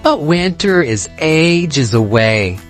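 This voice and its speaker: A woman's voice: low-pitched, sing-song, slow pace, soft background music, voice pushed down, heavily acted. A woman voicing a carefree, drowsy male grasshopper in a children's storybook, with a languid, easygoing drawl. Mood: lazy, coaxing. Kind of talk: animated children's storybook read-aloud.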